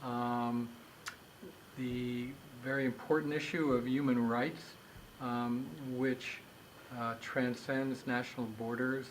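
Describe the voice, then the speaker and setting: An older man, the director of an organization, explaining global issues in a measured way with frequent pauses, filmed in an indoor office. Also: medium pitch, little pitch movement, slight background noise, low-quality recording. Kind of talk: explanatory interview talk.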